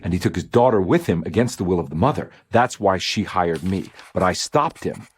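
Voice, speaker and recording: An actor playing a haunted, guilt-ridden private detective in a horror game, with a brooding noir delivery. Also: male voice, low voice, lively intonation, breathy edge. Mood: assertive, then grimly realizing. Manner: brooding noir narration with halting confessions. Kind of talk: scripted game voice acting.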